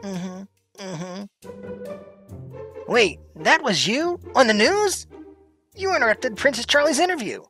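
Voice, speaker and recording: A woman's voice: fairly high pitch, very animated, slow pace, pauses often, fairly bright tone, soft background music, full character voice. A woman voicing a shy, polite small demon mercenary in a comic dub, hesitant and earnest, stammering at times. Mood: impressed.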